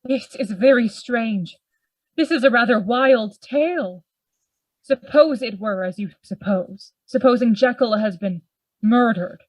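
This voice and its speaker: A woman playing a sober, rational Victorian gentleman in a Gothic radio play, speaking formally. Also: on the high side, no room sound, rough recording. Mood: puzzled, measured. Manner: formal, measured, reasoning.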